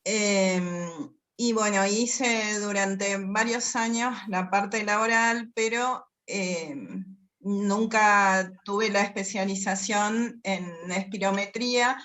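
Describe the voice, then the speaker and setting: A woman's voice: medium pitch, fairly even intonation, slow, a bit bright. An Argentine woman physician introducing herself on a video call, speaking with hesitant fillers. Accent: Argentine Spanish. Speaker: physician, course participant.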